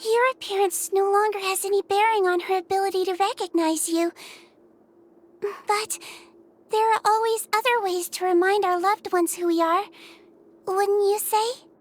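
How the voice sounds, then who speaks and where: Tiny, very high-voiced gentle nurse creature. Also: female voice, steady tone, moderate pace. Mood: gentle, sorry. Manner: gentle, composed, polite. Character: small kind Melusine head nurse, childlike, gentle but firm. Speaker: voice actor in a video game. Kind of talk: scripted game character dialogue. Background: game music.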